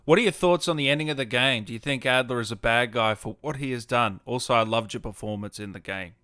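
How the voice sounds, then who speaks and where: A man's voice: medium pitch, fast talker, pushed and bright, no room sound, clean studio sound. A man hosting a casual gaming livestream interview, reacting to his guest and putting questions to him.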